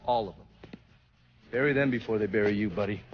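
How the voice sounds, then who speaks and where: An actor playing a cynical, blunt operative in a video game drama, with a mocking manner. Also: male voice, lowish voice, fast talker, highs cut off, a little hiss or hum, lightly acted. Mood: coolly sardonic. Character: cynical, foul-mouthed, sardonic male operative.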